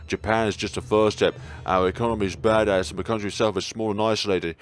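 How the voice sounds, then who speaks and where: A man voicing a paranoid, conspiracy-obsessed male high school student in a visual novel, making ominous, earnest pronouncements. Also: fairly even intonation, fast talker, natural voice. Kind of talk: visual novel character reading.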